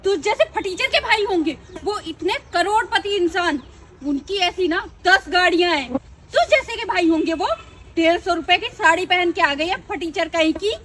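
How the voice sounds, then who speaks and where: A woman acts out a haughty, aggressive office worker in an amateur melodrama. The voice is extremely high, bright and forceful, dripping with scorn as she scolds. Faint noise runs behind her. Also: expressive, normal speed, recorded from a distance. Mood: scornful. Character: haughty, spiteful female office employee, snobbish and aggressive. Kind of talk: amateur Hindi melodrama skit acting.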